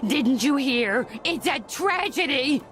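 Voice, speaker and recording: A woman's voice: high-pitched, lively intonation, slow, fairly bright tone, a little hiss or hum, full character voice. A woman voicing a spoiled, melodramatic teenage girl in a cartoon game, with whiny valley-girl drama. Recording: music behind.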